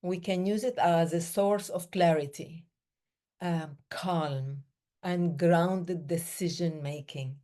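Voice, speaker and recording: Woman speaker from the Middle East; slow, mellow, lowish voice, measured phrasing. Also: fairly even intonation. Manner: slow, measured, with pauses between short phrases. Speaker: breathing day speaker. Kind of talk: reflective talk.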